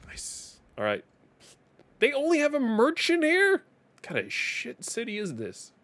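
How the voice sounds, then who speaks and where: A man's voice: extremely high pitch, wildly swooping pitch, unhurried, fairly bright tone. A man from Denmark whose first language is Danish, speaking English with a Danish accent in casual live-stream gaming commentary, chatty, laughing often and repeating words as he restarts.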